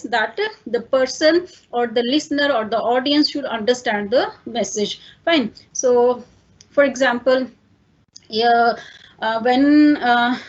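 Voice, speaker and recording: High-voiced Indian woman teaching a lecture. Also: husky, muffled recording. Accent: Indian English. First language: Hindi. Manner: explains step by step with many fillers and "fine" check-ins. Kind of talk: online lecture.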